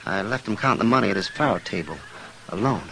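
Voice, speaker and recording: A man's voice: lowish voice, animated, quick pace, gravelly, sharp tone, exaggerated voice. An actor playing a flustered, folksy frontier saloon man with a rural American accent in a radio western. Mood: uneasy. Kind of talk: 1950s western radio drama acting. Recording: noisy old radio broadcast recording.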